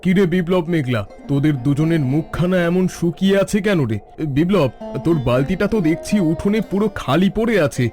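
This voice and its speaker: A man's voice: a little high, a little fast, a little mellow, soft background music, natural voice. A man voicing a wise, encouraging male village schoolteacher in a cartoon, speaking in a fatherly way. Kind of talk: cartoon voice acting.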